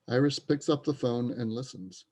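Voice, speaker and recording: A man's voice: medium pitch, steady tone, moderate pace, darkish tone. A man narrating a play, reading out scripted stage directions.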